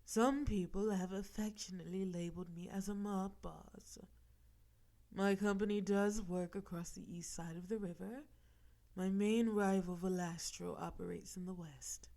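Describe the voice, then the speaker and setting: A woman acting as a female mob boss from an audio roleplay, smug and dangerously flirtatious. Her voice is dark and mellow with gravelly fry at the edges. She takes her time over every phrase, teasing and threatening with equal confidence. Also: fairly low pitch. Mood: businesslike, smooth. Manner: teasing, suggestive, slow deliberate delivery with breathy asides. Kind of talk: audio roleplay with gumshoe cliches.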